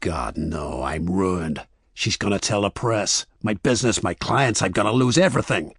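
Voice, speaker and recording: Male narrator as desperate businessman, flustered, pleading, very husky, dry storybook recording. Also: on the low side, a little fast, dry recording. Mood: panicked, despairing. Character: panicky cheating husband, desperate businessman, bargaining.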